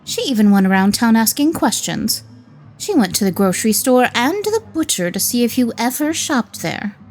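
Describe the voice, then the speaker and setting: A woman's voice: on the high side, sing-song, moderate pace, soft background music. A woman playing a teasing, protective middle-aged wife and mother in a radio drama, chiding and playful. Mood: playful, gossipy.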